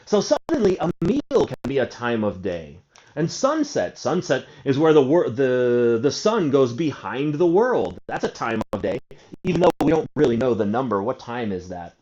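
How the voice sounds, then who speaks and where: A man's voice: medium pitch, very animated, moderate pace, darkish tone. A man in his forties teaching an English grammar lesson, asking and answering his own questions and drawing out key words. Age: 40s. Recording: dull lo-fi recording.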